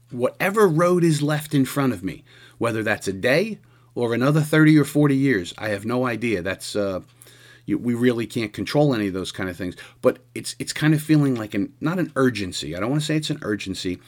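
Man in his sixties, earnest radio host. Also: medium pitch. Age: 60s. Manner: halting, earnest, frequent restarts. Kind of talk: reflective casual radio monologue.

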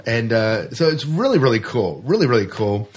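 A man's voice: mid-range voice, big pitch swings, moderate pace, breathy edge. A man co-hosting a podcast in casual, chatty banter, with a jokey way of talking. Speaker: podcast co-host.

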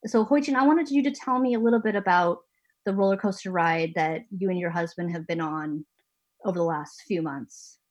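A woman's voice: fairly low pitch, normal speed, a little mellow. Woman hosting a podcast from home. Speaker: podcast host and managing editor. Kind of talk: scripted podcast interview. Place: home basement.

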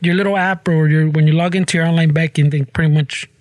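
A man's voice: a little high, steady tone, a little mellow, dry recording. Snel pratende man die steeds "güey" zegt. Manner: fast, slangy, ends lines with "güey". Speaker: podcast co-host. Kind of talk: casual profane chat, mixing English and Spanish.

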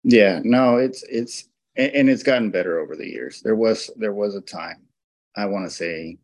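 A man's voice: lowish voice, normal speed. A man who is a guest on a hunting radio show, giving casual interview answers with a lot of hesitation and restarts. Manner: hesitant, many restarts and fillers.

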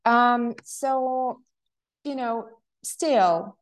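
A woman's voice: fairly high pitch, extremely slow, low-quality recording. A young adult woman with a Ukrainian accent, speaking English as a second language as an interview guest, earnest and reflective, with many fillers and restarts. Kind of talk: conversational interview answer.

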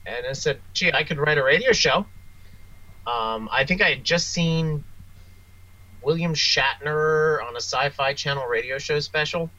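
A man speaking as an interview guest, a writer telling wry, self-deprecating anecdotes in a casual, animated way. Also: on the high side, a little slow, dull audio.

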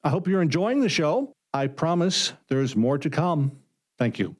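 A man's voice: fairly high pitch, big pitch swings, measured pace. A man, a show's creator, speaking out of character in a scripted appeal to listeners. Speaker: show creator and lead actor.

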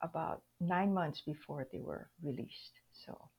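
An older woman from Hawaii telling personal stories as an interview guest, reflective and halting, with a husky voice. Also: low voice, dull audio. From Hawaii, United States. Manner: reflective, halting, acts out remembered dialogue.

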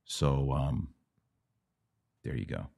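A man's voice: extremely low pitch, slow, vocal fry, a little mellow. A man hosting a conversational religious question-and-answer podcast, teaching emphatically and repeating key words for stress. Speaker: podcast host.

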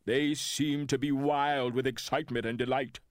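An actor playing a Union general who gives a formal, official military report in a dramatic reading. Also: male voice, mid-range voice, steady tone, normal speed, no room sound, studio quality, natural voice. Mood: formal, composed. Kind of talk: dramatic reading of historical documents.

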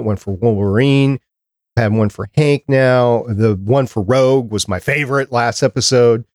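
A man co-hosting a podcast, giving his opinions casually in short, measured phrases. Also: on the low side, darkish tone, no echo, clean studio sound. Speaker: podcast co-host. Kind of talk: casual review discussion.

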